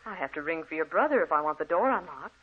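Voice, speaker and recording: An actress playing an anxious, dutiful nurse, deferential and melodramatic, in an old-time radio horror drama. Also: female voice, low-pitched, animated, quick pace, husky. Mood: uneasy. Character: anxious, dutiful nurse tending a disturbed patient. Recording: tinny, band-limited old radio recording.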